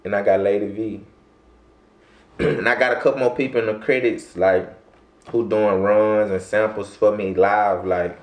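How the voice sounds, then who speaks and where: A young man from Louisiana, a rapper talking casually in an interview, rambling and heavy on slang with frequent throat clearing. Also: lowish voice, steady tone, normal speed. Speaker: rapper guest. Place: small room, seated in armchair. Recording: echoey room.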